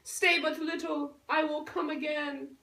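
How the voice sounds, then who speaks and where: A woman playing a lovestruck, earnest young noble girl in a puppet show, speaking Shakespearean verse in a theatrical, drawn-out way. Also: high voice, flat delivery, vocal fry, sharp tone, heavily acted. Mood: urgent, loving. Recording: roomy echo, distant microphone.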